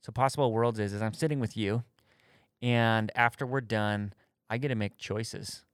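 A man's voice: on the low side, steady tone, moderate pace, smooth, dry recording, studio quality. A man in his thirties on a podcast, talking candidly and thoughtfully, searching for his words as he explains his faith. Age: 30s. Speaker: podcast co-host answering faith questions. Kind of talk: casual conversation.

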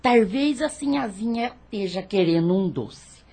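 An actress playing a devoted, fussing housemaid with rustic, servile speech in a radio soap. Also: female voice, mid-range voice, lively intonation, a little slow, mellow, husky. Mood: solicitous.

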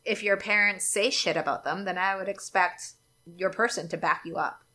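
Bright-voiced chatty young woman. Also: medium pitch, moderate pace. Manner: chatty, candid, laughs often. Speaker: podcast co-host. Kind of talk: casual chat.

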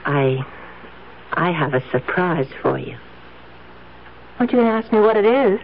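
An actress playing a doting but controlling young wife in a 1970s radio drama, coaxing and gently patronizing, on a tinny old recording. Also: female voice, on the low side, expressive, normal speed, vocal fry, a little hiss or hum. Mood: sweetly firm.

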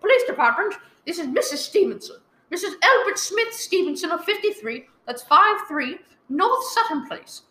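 A woman's voice: very high-pitched, sing-song, normal speed, pushed and bright, heavily acted. A woman playing an anxious, imperious, bedridden wife in a radio thriller, stammering and easily exasperated. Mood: agitated. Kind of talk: old radio thriller acting. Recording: roomy echo, live one-take recording.

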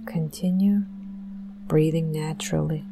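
A woman leading a guided meditation in a gentle, soothing, deliberate manner with long pauses. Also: low-pitched, fairly even intonation, creaky, music in the background.

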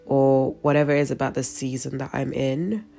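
A woman's voice: very deep voice, steady tone, fairly quick, vocal fry, warm tone, faint music behind. A woman with a cold-blocked, stuffy nose, giving a devotional talk with a spoken prayer in a chatty manner full of fillers and restarts. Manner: conversational, with frequent fillers and restarts.

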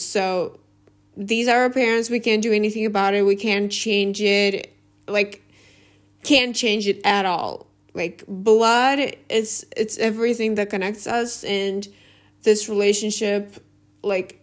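A young woman, hosting a casual solo podcast. She rambles and hesitates, with many fillers and restarts. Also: mid-range voice. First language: Russian. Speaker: podcast host.

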